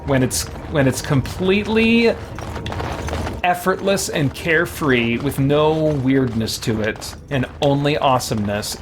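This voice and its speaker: A man hosting a game stream, chatting casually and answering viewers in a halting, think-aloud way. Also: mid-range voice. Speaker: video game livestream host. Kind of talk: casual gameplay chat, answering viewer questions. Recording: clearly noisy background.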